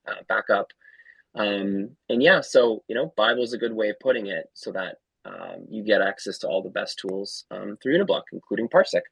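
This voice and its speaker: A company founder, a man, explaining his product eagerly on a casual call, talking in quick bursts. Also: fairly low pitch, vocal fry, fairly bright tone, dry recording, rough recording. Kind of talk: casual chat.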